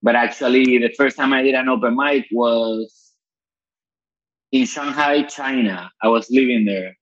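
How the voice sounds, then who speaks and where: A Venezuelan man in his thirties talks casually as a podcast guest, swearing freely and stopping to restart his sentences. His voice sits at an ordinary pitch for a man. The audio is muffled and lo-fi, as if coming over a weak internet call. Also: a little slow, a bit bright. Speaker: guest comedian.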